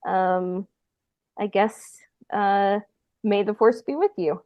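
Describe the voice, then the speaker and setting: A woman hosting a casual podcast chat, talking haltingly and often trailing off. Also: medium pitch, steady tone, dry recording, highs cut off. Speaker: podcast host.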